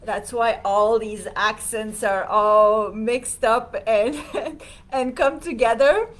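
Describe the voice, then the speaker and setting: Slow, hesitant woman. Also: a little high, steady tone. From Serbia. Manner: hesitant, many fillers, reads viewer comments. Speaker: livestream host. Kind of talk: casual livestream talk.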